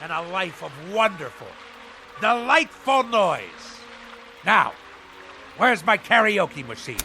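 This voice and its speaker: An actor playing a pompous, blustering middle-aged husband obsessed with all things nautical, declaiming grandly in theatrical radio drama style. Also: male voice, high voice, big pitch swings, slow pace, music in the background, full character voice. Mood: triumphant. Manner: grandiose, declamatory, swinging from hushed to bellowing.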